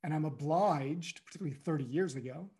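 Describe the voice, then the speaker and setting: A middle-aged man from the United States, a guest speaking reflectively in an interview, pausing often and filling with "you know". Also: a little high, dark tone. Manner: thoughtful, halting, many "you know"s.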